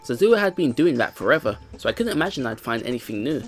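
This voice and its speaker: Let's play host reading visual novel text out loud, animated delivery, bit of music behind. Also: mid-range voice, fast. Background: game music.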